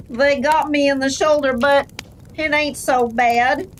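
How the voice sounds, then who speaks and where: A woman as high-voiced wounded frontier rancher. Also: a little hiss or hum, rough recording. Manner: strained, drawn-out, folksy. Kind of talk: western radio drama acting.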